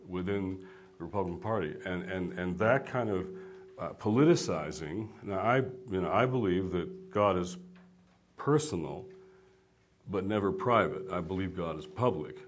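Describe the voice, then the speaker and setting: A man's voice: low-pitched, a little hiss or hum. A man speaking as a guest author on a discussion panel, earnest, with a preacherly cadence and a habit of telling jokes.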